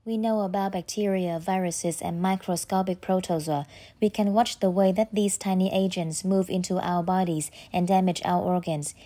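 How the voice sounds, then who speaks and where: A woman who works as a trainer, giving a careful, measured model reading of scripted exam passages. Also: on the low side, steady tone, dark tone. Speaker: test-preparation trainer reading sample passages.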